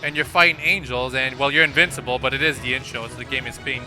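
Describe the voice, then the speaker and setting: A man's voice: medium pitch, steady tone, quick pace, sharp tone, faint music behind, low-quality recording. A man hosting a gameplay video with casual, unscripted commentary in a rambling, explanatory way. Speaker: gaming channel host.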